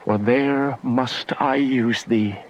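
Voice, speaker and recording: A man's voice: mid-range voice, fairly even intonation, slow, rough recording. An actor playing a lovesick, impulsive young nobleman in a declamatory, poetic Shakespearean radio drama. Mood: grimly resolved. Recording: faint background noise.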